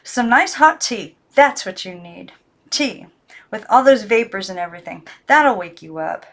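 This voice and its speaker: A woman voicing a frightened young girl who coaxes, then desperately shouts at her dolls. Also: medium pitch, expressive, measured pace. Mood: coaxing. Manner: coaxing, then frantic and shouting.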